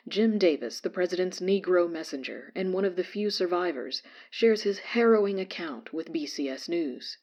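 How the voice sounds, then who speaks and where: A woman playing a radio newscaster who reads a disaster report in a measured, formal way. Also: lowish voice, lively intonation, a little mellow, highs cut off. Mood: sober, formal. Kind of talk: scripted news report in audio drama.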